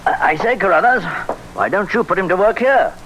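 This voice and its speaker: Friendly british doctor, matter of fact, clipped, kinda high lively voice, vintage radio play. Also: male voice, fairly quick, poor audio. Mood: advising, genial. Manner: clipped, conversational. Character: genial, matter-of-fact British doctor giving his opinion. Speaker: actor in a radio thriller. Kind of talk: old radio drama acting. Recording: old radio recording, some noise.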